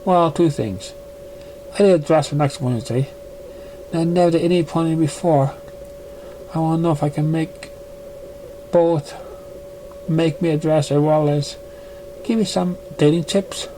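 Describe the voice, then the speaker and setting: Earnest young pony for a storybook reading, voiced by a man: higher-than-average voice, halting and concerned, faintly noisy recording. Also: dark tone, close to an everyday voice.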